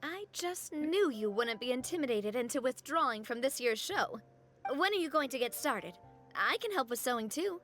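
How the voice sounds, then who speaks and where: A chipper young video game heroine with a high, bouncy voice. She is confident, familiar and breezy, over faint music. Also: expressive, normal speed, fairly bright tone. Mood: eager.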